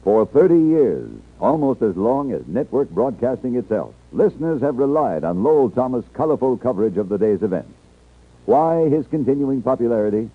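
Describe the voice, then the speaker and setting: A man's voice: medium pitch, husky. An American man reading a scripted 1950s network radio promotion in a polished, emphatic, formal manner. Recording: narrow old radio broadcast recording.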